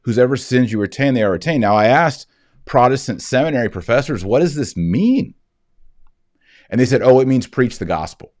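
A man in his forties reading scripture and explaining it in an earnest, emphatic way with reflective pauses. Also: medium pitch, lively intonation, darkish tone, no echo, studio quality. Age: 40s. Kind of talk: scripture reading with devotional commentary. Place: indoors, wood-panelled office, seated at a desk.

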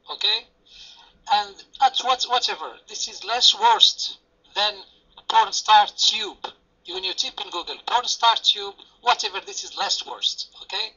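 A man's voice: sits high, pushed and bright. Earnest man debating over voice chat. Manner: earnest, repeats "okay?". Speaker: debate guest. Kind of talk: online debate. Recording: lo-fi voice chat audio.

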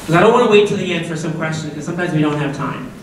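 Deep-voiced woman speaking in an echoey hall. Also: rapid-fire, slight background noise. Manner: upbeat, chatty, asks the audience questions. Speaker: guest speaker at a school assembly. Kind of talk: lively talk to students. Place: large school auditorium. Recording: echoey auditorium from far off.

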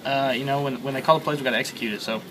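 A young man, a college quarterback, answering reporters after a game, halting with many fillers and repeated words, recorded on handheld mics and phones held close. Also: mid-range voice, fairly even intonation, background noise. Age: young adult. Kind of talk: postgame media scrum. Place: crowded locker-room interview area, indoors. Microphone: several handheld mics and phones held close. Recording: busy crowd chatter behind.

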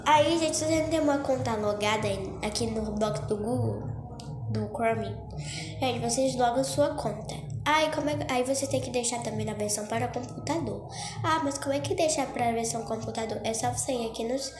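A woman's voice: on the high side, brisk. A young woman with a Brazilian Portuguese accent, chatty and a little congested from a cold, walking viewers through a phone game tutorial. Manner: chatty, keeps saying "gente" and "tá?". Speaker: Roblox tutorial youtuber. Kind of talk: casual screen-recorded tutorial. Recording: echoey room with music behind.

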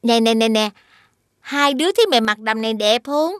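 A woman's voice: fairly high pitch, moderate pace, dry recording, clean studio sound, lightly acted. A woman voicing an affectionate, cheerful mother of two in a children's cartoon. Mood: chirpy. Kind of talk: children's animated series dubbing.

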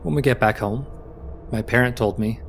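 A man's voice: medium pitch, moderate pace, natural voice. An actor playing a friendly young male space crew member in an audio drama. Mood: confiding, excited. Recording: faint noise and background ambience.

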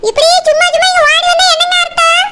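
A woman's voice: very high voice, level tone, bright, forceful tone. A woman voicing a stubborn, nagging middle-aged village mother in an amateur cartoon, with a Kanyakumari Tamil accent. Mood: accusing. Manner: nagging, accusatory, rapid complaints.

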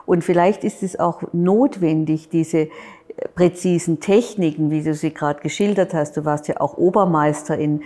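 Low, mellow middle-aged woman interviewer. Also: fairly even intonation, normal speed. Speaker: museum director, interviewer. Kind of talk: formal interview and closing address. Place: museum gallery room.

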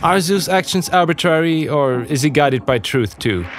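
A man's voice: a little high, expressive, normal speed, lightly acted. A man playing a cheeky young philosophy student in a comic audio play, smug and probing. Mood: smug, questioning. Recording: music behind the voice.

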